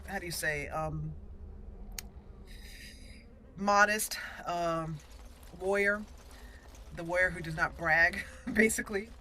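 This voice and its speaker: A woman hosting a let's play, chatting casually about her gameplay and reacting excitedly to the action. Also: fairly low pitch, slow pace, long pauses, a little hiss or hum. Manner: chatty, excitable reactions, talks to party members.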